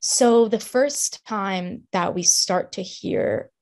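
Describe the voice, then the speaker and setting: A young woman in her twenties from Ukraine with an American accent, answering interview questions in a measured, thoughtful way. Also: mid-range voice, a little mellow. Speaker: guest, co-founder.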